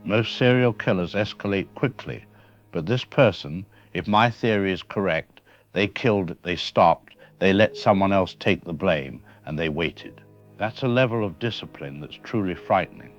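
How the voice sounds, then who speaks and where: Man as grave professor, very deep voice, measured analytical delivery, dull lo-fi sound, faint music behind. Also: close to an everyday voice. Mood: calm, grave. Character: grave male professor explaining a killer's mind. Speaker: professor interviewed as an expert. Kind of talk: radio drama interview.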